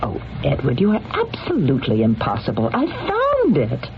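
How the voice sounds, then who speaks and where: Wealthy, domineering lady, theatrical and lively in pitch, vintage radio drama with heavy background noise. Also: normal speed, creaky, full character voice. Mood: coaxing, teasing. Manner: theatrical, coaxing, cutting. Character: imperious, possessive wealthy woman, affectionate then scolding. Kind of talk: old-time radio drama acting. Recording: muffled, noisy old radio recording.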